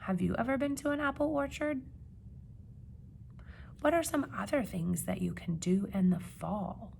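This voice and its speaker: A woman reading a children's picture book aloud like a teacher, with expressive emphasis and questions put to young listeners. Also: medium pitch, vocal fry, slight background noise. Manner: expressive, dramatic gasps and emphasis, pausing to ask questions.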